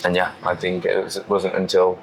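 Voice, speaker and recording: A British man speaking reflectively as an interview guest, thoughtful and halting, pausing as he gathers his words. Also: sits low, monotone, gravelly, a little hiss or hum, poor audio. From United Kingdom, London. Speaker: interview guest, touring cyclist.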